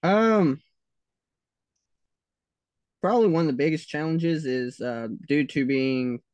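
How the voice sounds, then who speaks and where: A man with a Southern US accent answers interview questions at a very slow, halting pace, filling gaps with "um" and "uh". His voice is smooth and clear. It is recorded dry, with no room sound. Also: on the high side, darkish tone, no echo. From United States. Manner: slow, hesitant, many pauses and fillers.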